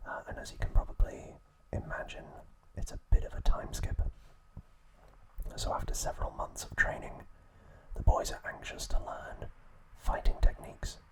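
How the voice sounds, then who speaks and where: A man narrating scripted ASMR in a breathy, unvoiced whisper, with a measured, soothing delivery. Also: poor audio. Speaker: ASMR channel host.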